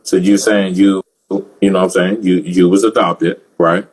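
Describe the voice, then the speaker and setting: A man's voice: deep voice, steady tone, normal speed, slight background noise, poor audio. A man hosting a casual interview who jokes and often repeats words as he starts his questions. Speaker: interviewer host.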